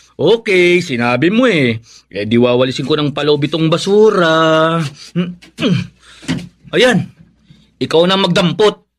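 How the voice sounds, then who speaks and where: An actor with a Filipino accent playing a flippant, grudging chore-dodger in a radio drama. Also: male voice, a little high, expressive, slow, a little hiss or hum. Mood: dismissive. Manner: dismissive, grudging.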